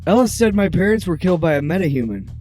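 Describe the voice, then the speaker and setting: A man's voice: high-pitched, big pitch swings, quick pace, music in the background. A man playing a defiant, cheeky young metahuman in an audio drama, talking in a casual, sardonic way. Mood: upset, defiant.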